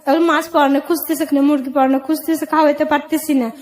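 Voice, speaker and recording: A woman's voice: sits high, flat delivery, quick pace, darkish tone. A woman in her thirties with a Bangladeshi accent, telling her own life story as a guest in plain, colloquial, plaintive speech. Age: 30s. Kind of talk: personal account in colloquial speech.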